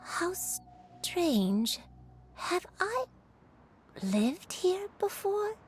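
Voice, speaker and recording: A frail gentle grandmother. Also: extremely high pitch, very animated, exaggerated voice. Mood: puzzled. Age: older. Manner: soft, slow, hesitant. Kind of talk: video game cutscene dialogue. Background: game music.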